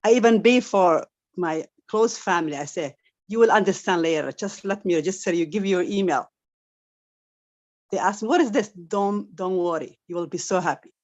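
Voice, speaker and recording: Woman, native Arabic speaker, lower register, halting repetitive English, giving a personal testimonial over a dull online call. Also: a little mellow. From Somali family, grew up in Saudi Arabia. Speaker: guest member giving a testimonial. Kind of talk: unscripted testimonial. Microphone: video call.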